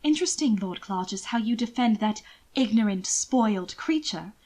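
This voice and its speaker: A woman voicing a calm but defiant young gentleman with measured, pointed, formal speech in a story. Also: mid-range voice, natural voice. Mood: challenging. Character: calm, mildly defiant, sharp-witted young man.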